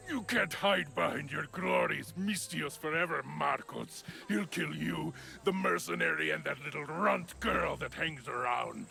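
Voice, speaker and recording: Gravelly, threatening thug. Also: male voice, a little high, a little slow, hardly any pauses, sharp tone, heavily acted. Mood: sneering menace. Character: sneering male thug collecting debts for a warlord. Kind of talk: dramatic video game villain acting.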